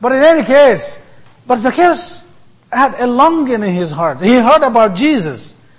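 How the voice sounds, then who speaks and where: A man giving a sermon as a priest, speaking in short, deliberate phrases with many pauses. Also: very high voice, expressive, slightly rough, rough recording.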